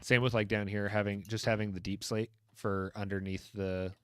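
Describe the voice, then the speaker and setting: Man, streamer host, casual encouraging chat, lowish voice, dry close mic. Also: level tone, moderate pace, fairly bright tone, clear, resonant tone, no echo. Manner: friendly, encouraging, giving feedback on builds.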